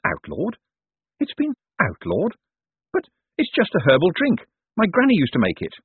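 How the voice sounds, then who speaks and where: A man's voice: high-pitched, wildly swooping pitch, normal speed, slightly rough, no echo, narrow, tinny audio, voice pushed up, exaggerated voice. Man playing a wry, lighter-voiced rogue banker. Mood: curious, casual. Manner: wry, casual. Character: wry, quick-witted male rogue banker, weary and casual.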